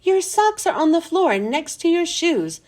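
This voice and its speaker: A woman's voice: extremely high pitch, sing-song, unhurried, a little mellow, voice pushed up, heavily acted. A woman voicing a stern, falsely nice villainous female schoolteacher, commanding and coldly polite. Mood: cold, furious.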